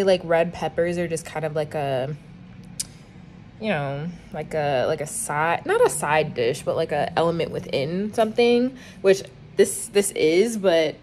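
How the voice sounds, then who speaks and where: A woman's voice: on the low side, a little mellow, a little hiss or hum. A young woman in her twenties casually talking through a cooking vlog at home into a phone camera, rambling and self-mocking with lots of fillers. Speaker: cooking vlogger. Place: small home kitchen and apartment room.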